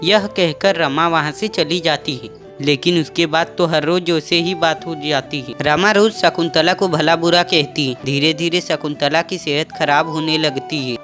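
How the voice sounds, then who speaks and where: A narrator telling a cartoon horror story in a steady, matter-of-fact way. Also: medium pitch, moderate pace. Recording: music bed under the voice.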